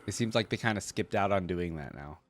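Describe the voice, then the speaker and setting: A man's voice: sits low, lively intonation, brisk, faint music behind. A man giving casual, conversational reaction commentary, musing aloud as he goes. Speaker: reaction video host.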